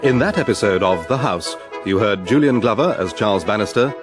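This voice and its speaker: A man's voice: fairly low pitch, lively intonation, fairly quick. A man with a British accent reading formal scripted credits as a radio drama announcer. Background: orchestral music.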